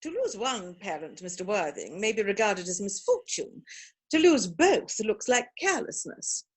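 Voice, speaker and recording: A woman playing an imperious, snobbish older aristocratic mother in a theatrical comedy reading, speaking with pompous, deliberate emphasis. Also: medium pitch, sing-song, slightly rough. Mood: scornful, inquisitive. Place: virtual performance from home in isolation.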